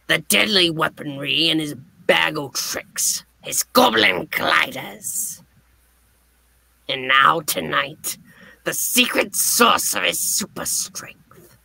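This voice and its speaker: A menacing male comic book supervillain, as a live reader puts him on, scheming and gloating. The delivery is slow and deliberate, hushed and melodramatic, with a gravelly creak. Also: mid-range voice, exaggerated voice.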